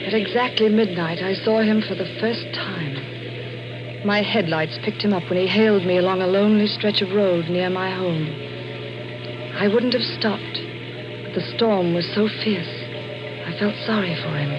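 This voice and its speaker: A woman's voice: medium pitch, moderate pace, close to an everyday voice. An actress in a 1940s radio drama playing a proud, frightened married woman with a refined, dramatic delivery. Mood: haunted, suspenseful. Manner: dramatic, refined, haughty when rattled. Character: glamorous married woman, proud, frightened, telling her eerie tale. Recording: muffled vintage radio recording, music behind.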